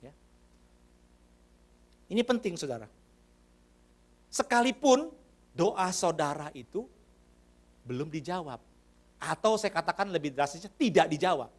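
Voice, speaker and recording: A man's voice: on the high side, lively intonation, unhurried, pauses often. A man preaching a sermon in a church. He talks to the congregation in a conversational way, addresses them often and asks them questions. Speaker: pastor.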